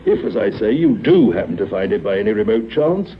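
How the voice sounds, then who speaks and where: A man's voice: fairly low pitch, sing-song, fairly quick. A solid English police inspector in an old radio thriller. He is courteous yet slyly suspicious, with a lilting, varied delivery on a muffled recording. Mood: polite, insinuating. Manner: polite, wryly insinuating. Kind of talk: 1950s radio drama acting.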